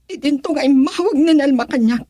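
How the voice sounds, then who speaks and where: A woman's voice: high-pitched, fairly quick, mellow, no room sound, clean studio sound, lightly acted. An actress playing a heartbroken, betrayed young woman in an Ilocano radio drama, plaintive and emotional, with an Ilocano accent. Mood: hurt, reproachful.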